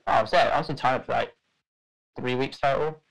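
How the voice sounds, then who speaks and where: A man's voice: mid-range voice, brisk, vocal fry, a bit bright, poor audio. Young man rambling through a story. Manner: rambling, many fillers and restarts, laughs at own story. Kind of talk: casual podcast storytelling.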